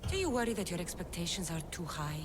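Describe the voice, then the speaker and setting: Adventurer heroine, game cutscene, expressive, noise behind her. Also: lowish voice, fast talker, big-room sound. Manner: direct and inquisitive. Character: bold female adventurer, questioning and determined.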